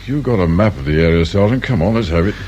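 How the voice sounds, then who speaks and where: Radio drama detective inspector: English, middle-aged, brusque and grumbling, deep register, noisy ambience. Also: male voice, animated, fast, darkish tone, muffled recording. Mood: dry, irritable. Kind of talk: naturalistic British radio drama acting. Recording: clear background noise and ambience.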